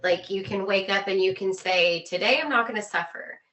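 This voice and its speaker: A woman's voice: mid-range voice, moderate pace, bright, forceful tone. A woman interview guest explaining things in an earnest, conversational way, with emphatic, repeated phrasing.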